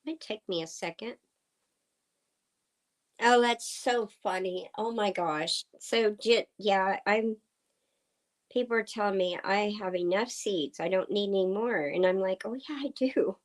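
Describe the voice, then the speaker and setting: A woman from Oklahoma hosting a casual livestream chat with viewers. Her voice is slightly hoarse. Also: medium pitch. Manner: slow, chatty, talking to viewers. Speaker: livestream host. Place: farm home indoors. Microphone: computer streaming setup.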